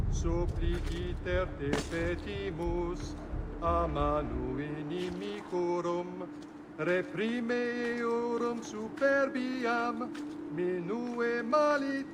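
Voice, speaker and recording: Solemn priest intoning a prayer, really slow and high, loud music all over it. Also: male voice. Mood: solemn, imploring. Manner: solemn, drawn-out, imploring. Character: solemn male cleric praying fervently in Latin. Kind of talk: liturgical chant-like prayer, video game drama.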